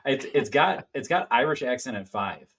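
A man's voice: medium pitch, animated, moderate pace, gravelly, a bit bright, husky. A man chiming in as a podcast producer with casual, wry remarks. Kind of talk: casual chat.

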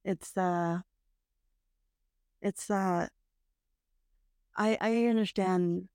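A woman's voice: mid-range voice, fairly even intonation, drawn-out words, darkish tone, clean tone, no room sound, studio quality. Hesitant, reflective woman chatting casually. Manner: hesitant, reflective, many fillers and restarts, occasional laughs. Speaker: guest, host's sister. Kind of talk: casual conversation. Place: parents' house.

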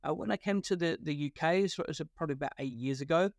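Man, New Zealand accent, forties, fairly high pitch, halting with lots of fillers, dry close sound. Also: no room sound. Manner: hesitant, many fillers and restarts. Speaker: guest, partnership consultant. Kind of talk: podcast interview.

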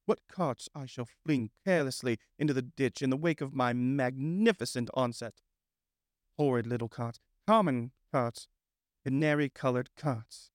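A man voicing a vain, excitable male toad gentleman, speaking in a dreamy, drawn-out way. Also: medium pitch, dry recording, clean studio sound, close to an everyday voice. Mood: dreamy rapture.

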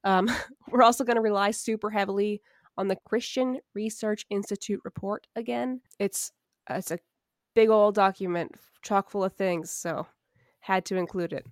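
A woman's voice: mid-range voice, moderate pace, no room sound, studio quality. A woman co-hosting a podcast in casual chat, sick and a little nasal and scratchy, wry and self-mocking with frequent laughs. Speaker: podcast co-host.

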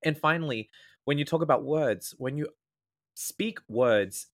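A man's voice: fairly high pitch, measured pace. Earnest Australian man explaining tips. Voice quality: slightly nasal natural voice. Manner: earnest, explanatory, lays out tips in ordered points. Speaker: guest speaker, former event MC. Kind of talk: casual podcast interview.

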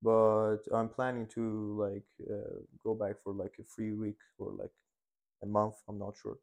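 A man's voice: fairly low pitch, completely flat delivery, unhurried, creaky, a little mellow. A young man in his twenties from Iran, a dental student answering interview questions in a hesitant way, with many fillers and restarts. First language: Persian. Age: 20s.

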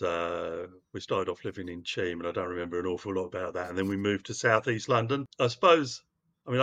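A man's voice: sits low, fairly bright tone. A man from Wales, a guest on a podcast, telling his story in a rambling, hesitant way over a call. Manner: rambling, hesitant, many restarts and fillers. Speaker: podcast guest, news publication chief executive. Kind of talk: casual interview storytelling. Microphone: call audio. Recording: dull lo-fi call audio.

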